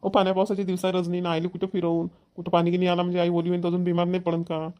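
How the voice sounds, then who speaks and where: Playful village husband, played by a man, rapid delivery, slightly high voice, rural Maharashtrian accent, cartoon. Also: monotone, darkish tone, smooth, close to an everyday voice. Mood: playful, coaxing. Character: playful, fast-talking village husband, easygoing family man. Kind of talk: cartoon family dialogue.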